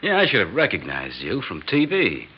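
An actor in a classic radio drama playing a cynical, slick middle-aged American press agent, sardonic and wisecracking in a husky voice. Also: male voice, on the low side, huge pitch swings, moderate pace, slight background noise. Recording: dull lo-fi radio drama recording.

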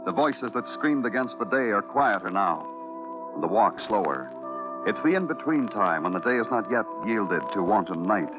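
A male actor plays a hardboiled, world-weary detective with a low voice. His delivery is brooding, clipped and deliberate, in vintage radio noir style on a narrow, muffled recording with prominent music. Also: lively intonation, lightly acted. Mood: brooding, somber. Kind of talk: 1940s-50s radio noir narration.

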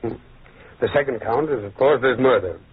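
Husky, measured radio-drama judge. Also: male voice, mid-range voice. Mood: grave. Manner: measured, questioning, formal. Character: calm, probing, dignified French judge. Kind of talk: old-time radio drama acting. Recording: muffled, tinny old radio recording.

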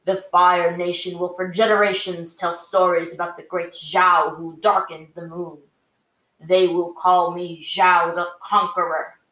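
Woman doing a villainous fire admiral, low and slow, gloating, echoey room. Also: fairly bright tone, recorded from a distance. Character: proud, unhinged male fire admiral, villain.

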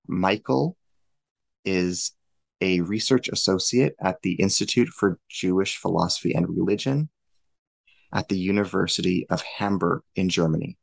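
A man hosting a podcast interview, formal and measured in his questions. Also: low-pitched, fairly even intonation, creaky, no room sound. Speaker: podcast host.

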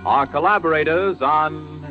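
Old time radio announcer guy, formal, kinda high voice, faint music behind, muffled. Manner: formal, deliberate.

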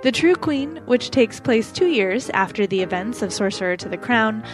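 A woman host reading a scripted introduction of a guest in a crisp, formal manner. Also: fairly high pitch, expressive, moderate pace, faint music behind. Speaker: podcast host.

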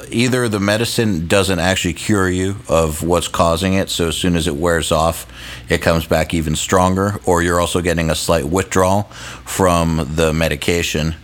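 A man hosting a podcast in casual chat, rambling with lots of fillers and the odd swear. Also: low-pitched. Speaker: podcast host.

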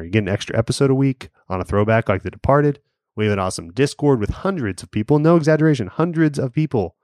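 A man with a fairly low voice co-hosts a casual podcast, rambling and circling back through his points. The recording is dry and close, with no room sound. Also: no room sound. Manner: rambling, lots of fillers and restarts. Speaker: podcast co-host. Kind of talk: casual chat.

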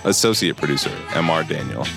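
An announcer reading scripted podcast credits in a measured, listing manner. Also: rumbling low, expressive, vocal fry, loud music behind the voice.

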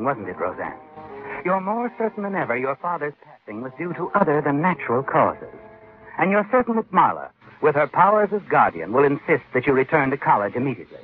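Male vintage radio narrator: ominous, higher-pitched and swooping, muffled sound. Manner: ominous, addressing the character as you. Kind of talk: dramatic scripted narration, old-time radio. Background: music.

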